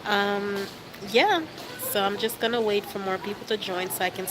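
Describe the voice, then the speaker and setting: A young woman with a stuffy, cold-clogged voice chats loosely into a phone she holds at arm's length. She fills her talk with lots of ums and easy asides. Clear background noise runs under the recording. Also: medium pitch, normal speed. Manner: chatty, relaxed, with frequent fillers and laughs. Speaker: vlogger livestreaming to fans. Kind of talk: casual live chat. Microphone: phone held at arm's length.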